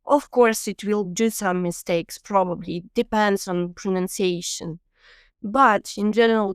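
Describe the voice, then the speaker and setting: A woman with a Ukrainian accent hosts a relaxed podcast conversation, walking listeners through practical tips in earnest, slightly non-native English. Her voice is smooth and clear, sitting mid-range for a woman. The sound is close and dry, with no room around it. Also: medium pitch, no echo. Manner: earnest, explanatory, laughs easily. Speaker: podcast host. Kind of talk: casual podcast interview.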